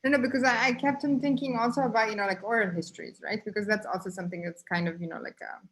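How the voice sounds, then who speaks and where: A woman in a casual online conversation, thinking aloud in halting phrases full of fillers. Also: mid-range voice, steady tone, rough recording. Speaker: guest artist.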